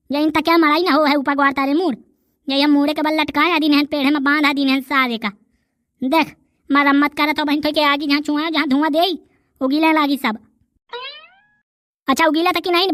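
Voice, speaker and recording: A man's voice: very high voice, bright, forceful tone, dry recording, clean studio sound. A man voicing a brash young village tough in a cartoon comedy, scolding and taunting in rustic Bundelkhandi speech.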